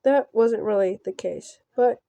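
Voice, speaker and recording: A woman's voice: medium pitch, gravelly, warm tone, no echo. Woman with a dry, close sound reading aloud. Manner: halting, stumbling read-aloud with offhand reactions. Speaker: gameplay host reading a visual novel. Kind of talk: casual let's play with read-aloud narration.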